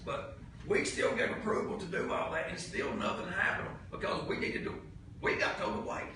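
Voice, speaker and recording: A man's voice: high-pitched, normal speed. A county commissioner, a man with a Southern US accent, speaking heatedly at a public meeting in a blunt, folksy, exasperated way and repeating his points for emphasis. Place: indoor public meeting room. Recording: noisy, very echoey meeting room, distant mic.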